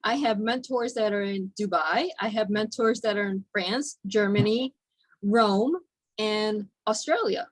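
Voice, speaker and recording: A woman hosting a radio interview show, talking in a direct, brisk way. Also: medium pitch, no room sound. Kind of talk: conversational interview.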